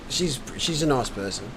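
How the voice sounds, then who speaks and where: A man's voice: medium pitch, expressive, measured pace. Chatty Australian man, rambling commentator. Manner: rambling, jokey storytelling. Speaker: sim racing commentator. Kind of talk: casual race commentary chat. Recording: noisy, with background hum.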